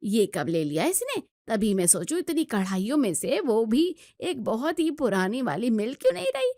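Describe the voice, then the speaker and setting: Lady doing a naggy stubborn middle aged saas from a hindi family story, highish voice, dry close sound. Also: big pitch swings, normal speed, mellow, dry recording. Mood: suspicious grumbling. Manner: scolding, complaining. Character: bossy, grumbling middle-aged Indian mother-in-law, stubborn but secretly pleased.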